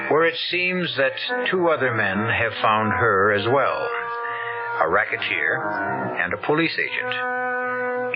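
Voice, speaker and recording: A man hosting an old radio mystery, giving dramatic scripted narration. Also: on the low side. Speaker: host and narrator. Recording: muffled old radio recording, loud music behind.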